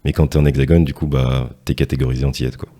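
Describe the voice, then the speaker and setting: A man's voice: extremely low pitch, steady tone, quick pace, warm tone. A man hosting a podcast interview, asking casual questions and summing up his guest's answers. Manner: rephrases and summarizes the guest's answers. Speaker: podcast host.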